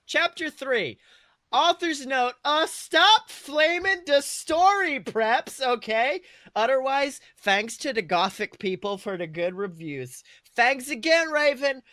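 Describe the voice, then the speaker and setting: A man's voice: very high-pitched, expressive, takes it slowly, bright, forceful tone, no room sound, studio quality, pitched above the performer's own voice, exaggerated voice. A man voicing a gushing, bratty teenage goth girl in a comic fan fiction reading. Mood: bratty, chirpy.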